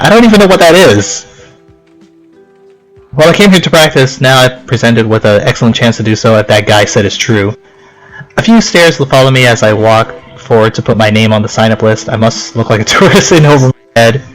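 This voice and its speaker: A man's voice: mid-range voice, rough recording. A young man giving casual, rambling let's play commentary, chatting and reading game text aloud.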